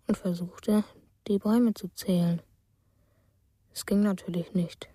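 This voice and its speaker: A wistful woman narrating in a slow, dark, mid-pitched voice. Her telling is quiet and measured, recorded close and dry for radio drama. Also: no echo. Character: wistful woman narrating a lonely remembered journey.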